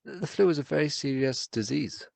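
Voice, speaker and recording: A man's voice: on the high side, lively intonation, moderate pace, creaky, darkish tone, dry recording. A man co-hosting a podcast in casual chat, contrary and matter-of-fact as he teases his co-host.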